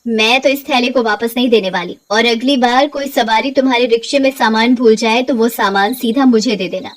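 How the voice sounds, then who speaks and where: Woman acting a high-voiced greedy, nagging village wife. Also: brisk, close to an everyday voice. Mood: scolding. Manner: scolding, demanding.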